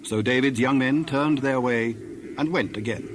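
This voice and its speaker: A man's voice: medium pitch, normal speed, slight background noise. A man narrating a dramatized scripture recording from a script, in the role of storyteller.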